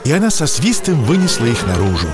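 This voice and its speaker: A man's voice: mid-range voice, wildly swooping pitch, fast. A man narrating a children's fairy tale as a scripted audio play. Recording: music behind the voice.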